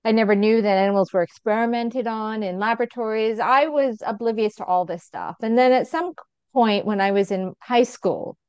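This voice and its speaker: A woman's voice: a little high, normal speed, a little mellow, clear, resonant tone, no room sound, muffled recording. A middle-aged woman with an American accent, a physician being interviewed, speaking earnestly with frequent fillers and easy laughter. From England. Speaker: guest, physician. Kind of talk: conversational interview answer.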